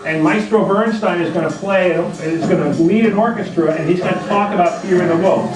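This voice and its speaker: High-voiced man presenting to children, echoey room. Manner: repetitive, reassuring, simple explanations. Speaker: puppet show presenter. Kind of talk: introducing a story to children, asking questions. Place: indoor library event room. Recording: echoey room, distant mic, loud music.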